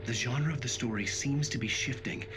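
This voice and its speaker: A man's voice: medium pitch, normal speed, lightly acted. Male actor as haunted novelist, mid-range voice, grim first-person game narration, music underneath. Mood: brooding. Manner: brooding, serious. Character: troubled male writer narrating his grim ordeal.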